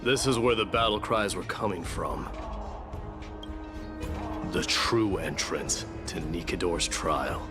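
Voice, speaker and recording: A slow, grim warrior prince. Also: male voice, sits low, music in the background. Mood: wary, grave. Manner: brooding, stern, formal. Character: grim, defiant exiled warrior prince, adult man. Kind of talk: dramatic video game voice acting.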